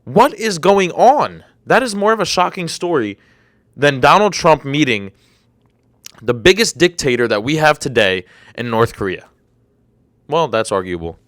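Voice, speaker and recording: A man's voice: fairly high pitch, animated, a little slow, a bit bright, no room sound, clean studio sound. A young man in his twenties hosting a solo podcast, rambling and incredulous, with a slightly congested throat. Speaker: podcast host. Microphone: desk microphone.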